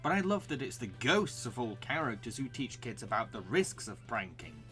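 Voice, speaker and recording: A man delivers scripted review narration in a very bright, forward voice at an ordinary pitch. His delivery is enthusiastic and recommending, the manner of a video host. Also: big pitch swings, moderate pace, soft background music.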